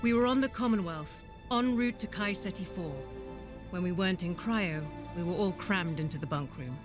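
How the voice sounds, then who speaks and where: A woman playing a no-nonsense super-soldier giving a matter-of-fact military debrief in an animated sci-fi drama. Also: on the low side, normal speed, close to an everyday voice. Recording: slightly dull, music behind.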